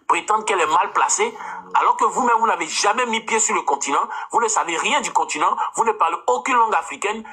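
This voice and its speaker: Fields: Sex: man. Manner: indignant, repeats himself. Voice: bright, rough. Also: high voice, animated, fast talker. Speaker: live stream speaker in a played clip. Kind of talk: heated monologue.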